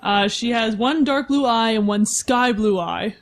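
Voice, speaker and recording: A woman's voice: fairly high pitch, a little slow. A woman casually describing her character as a player on a tabletop game podcast, wry and playful.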